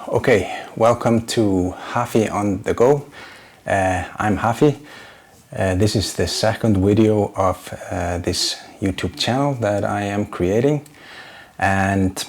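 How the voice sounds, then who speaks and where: Slow, hesitant Icelandic-accented man. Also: on the low side. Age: 40s. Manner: hesitant, searching for words, many filler words. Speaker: YouTuber and graphic designer. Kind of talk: casual unscripted vlog talk.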